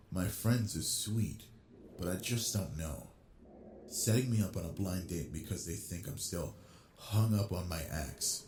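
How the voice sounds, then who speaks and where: A man voicing an obsessive yandere man on a blind date, talking with quiet, smooth charm in a scripted audio roleplay, close on the mic. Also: low-pitched, a little hiss or hum. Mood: brooding. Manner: quiet brooding inner monologue, then smooth flirty charm.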